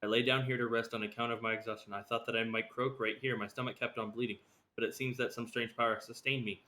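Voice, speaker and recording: A man's voice: mid-range voice, little pitch movement, quick pace, bright, forceful tone. A man streaming a video game, reading its dialogue and letters aloud. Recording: clear background noise on stream mic.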